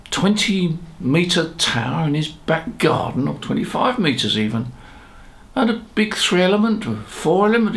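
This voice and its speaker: An older British man in his seventies talks as a conversational presenter. His pitch moves in animated swings, with a clearly creaky, gravelly edge. It sits a little high for a man, and he sounds chatty and reflective, dropping in rhetorical asides. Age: 70s. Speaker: ham radio presenter. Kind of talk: conversational explainer to camera. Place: small home office, indoors.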